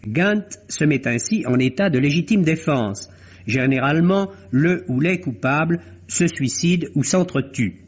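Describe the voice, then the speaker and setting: A man with a slightly high, husky voice delivers a film review like a radio critic. His phrasing is measured, literary and articulate. The sound is a dull, vintage radio recording. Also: animated.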